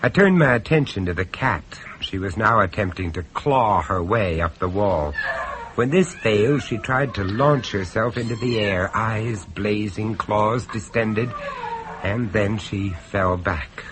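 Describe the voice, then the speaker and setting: An actor playing a grave, observant gentleman who narrates a horror tale in a radio play, in a dramatic storytelling style. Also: on the low side, measured pace, close to an everyday voice. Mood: grave, tense. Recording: noisy old radio drama recording.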